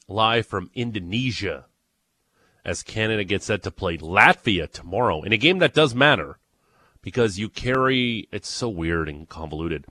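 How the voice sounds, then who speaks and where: Ontario-accented male morning-radio host, lowish and dry-miked, excitable and teasing. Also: no echo. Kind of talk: morning sports radio chat. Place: radio studio. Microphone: studio microphone.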